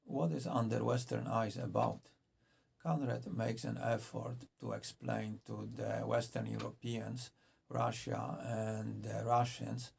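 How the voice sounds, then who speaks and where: Man, book reviewer, measured and earnest, raspy, gravelly. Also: fairly low pitch, a little mellow. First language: Polish.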